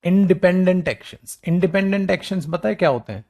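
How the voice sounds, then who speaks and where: Animated man teaching a class. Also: fairly quick. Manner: animated, scolds and coaxes students affectionately, calls them beta; mimics whining students in a low weak voice. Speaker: English teacher for government exams. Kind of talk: classroom lecture, mixing English examples with Hindi explanation.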